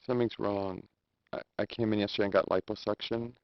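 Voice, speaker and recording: A man's voice: on the low side, steady tone, fairly quick, a little hiss or hum, lightly acted. A man acting as a subdued, self-conscious hospital patient in a live-action game. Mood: uneasy, confessional. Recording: dull lo-fi game video audio.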